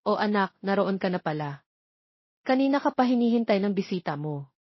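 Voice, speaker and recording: A woman playing a calm, husky older father. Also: mid-range voice, brisk, no echo. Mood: reassuring. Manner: reassuring, fatherly. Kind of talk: acted dialogue in an audio romance story. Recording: dull lo-fi, close dry sound.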